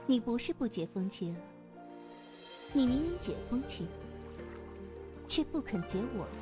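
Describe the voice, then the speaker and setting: A woman's voice: on the high side, sing-song, unhurried. A woman playing a seductive, proud female sect leader in a wuxia audio drama, with a coy, teasing manner. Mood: flirtatious, wistful. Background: music.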